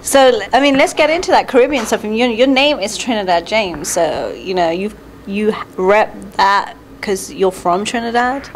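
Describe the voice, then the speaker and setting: A woman's voice: medium pitch, expressive, moderate pace. Chatty woman interviewer, faint noise behind. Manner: informal, chatty, slangy. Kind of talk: casual interview chat.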